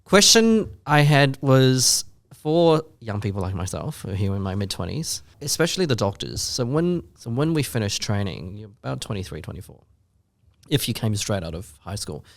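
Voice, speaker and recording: A man's voice: lowish voice, very animated, moderate pace, creaky, no room sound. A man around forty co-hosting a podcast, talking in a rambling, self-deprecating way and asking long questions. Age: 40s. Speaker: podcast co-host. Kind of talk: casual chat.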